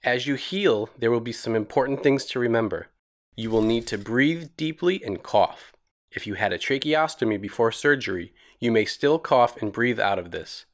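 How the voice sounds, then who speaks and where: A man reads scripted narration for an educational children's cartoon. His intonation moves up and down with plenty of animation. He explains each point in simple, reassuring phrases meant for young listeners. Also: medium pitch.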